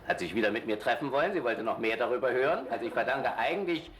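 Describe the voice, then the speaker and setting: Older man reminiscing, lively pitch. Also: quick pace, a little hiss or hum. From born in Shanghai, later lived in Germany. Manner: chatty, with hesitations and self-corrections. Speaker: interviewee. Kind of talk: casual reminiscing.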